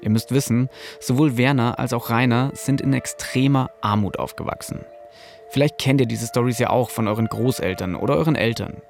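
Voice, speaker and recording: A man's voice: medium pitch, a little fast, gravelly, faint music behind. A man narrating a scripted podcast as host, in a casual, personal storytelling manner.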